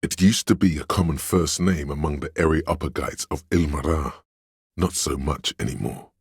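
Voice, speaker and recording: A very deep, gravelly know-it-all inner voice. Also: male voice, normal speed, no room sound, clean studio sound, heavily acted. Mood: lecturing, matter-of-fact. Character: pedantic know-it-all inner voice, a walking encyclopedia of trivia. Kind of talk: dramatic game narration.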